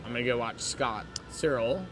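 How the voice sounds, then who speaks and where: A man's voice: mid-range voice, moderate pace, music in the background. A man co-hosting a podcast, bantering playfully and teasing his partner while losing his voice. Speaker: podcast co-host.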